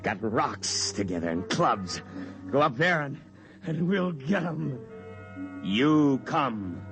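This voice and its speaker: Brutish mob member, dragging pace, halting menace, 1950s radio drama, music behind. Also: male voice, medium pitch, sing-song. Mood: menacing, dull. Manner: halting, menacing, simple phrases. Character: slow, brutish male mob member bent on attack. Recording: old radio broadcast with music underneath.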